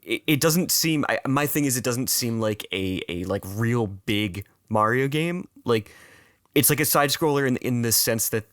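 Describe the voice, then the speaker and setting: A man with a raspy voice, chatting casually as a podcast co-host and repeating words as he thinks aloud. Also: mid-range voice, animated, normal speed, a bit bright, no echo, studio quality. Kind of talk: casual chat.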